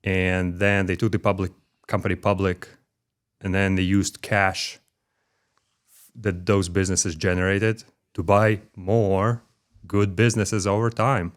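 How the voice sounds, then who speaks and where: A middle-aged man from Ukraine with a Ukrainian accent, speaking as an interview guest in a measured way with frequent pauses and fillers, recorded in a corporate headquarters office. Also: low voice, flat delivery. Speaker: guest, corporate development executive. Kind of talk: conversational interview.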